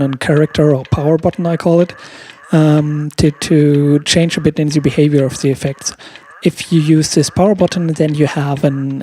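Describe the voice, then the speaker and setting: Mellow-voiced man giving a halting tutorial. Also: a little high, slightly rough. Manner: halting, repeats words while searching for phrasing. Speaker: music producer giving a tutorial. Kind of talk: casual explanatory software demo. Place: room at home, indoors. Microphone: close microphone on a stand.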